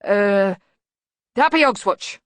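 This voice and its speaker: A woman's voice: mid-range voice, lively intonation, slow, no echo. A woman voicing a whining, put-upon bogeyman in a storybook, pleading and moaning and easily frightened. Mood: sulky.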